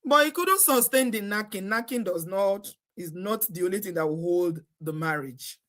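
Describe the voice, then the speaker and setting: A woman's voice: mid-range voice, animated, normal speed, fairly bright tone. A Nigerian woman hosting a live stream, chatty and exclamatory, often breaking into laughter. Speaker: host. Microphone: live stream setup.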